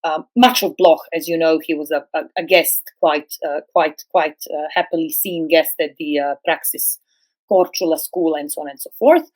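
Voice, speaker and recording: A middle-aged woman who grew up speaking Serbo-Croatian, a guest philosopher on a podcast, speaking slowly and hesitantly with many fillers and restarts. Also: low-pitched, fairly even intonation, darkish tone, poor audio. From former Yugoslavia. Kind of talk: academic podcast discussion.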